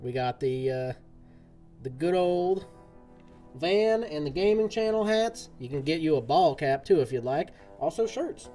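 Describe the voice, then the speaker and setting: Streamer, male, drawl, folksy chatter, slightly high voice, faint music behind. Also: lively intonation, unhurried, warm tone. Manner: folksy cowboy-style talk. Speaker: game streamer. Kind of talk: casual live-stream chat. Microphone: desk mic, game audio from a speaker.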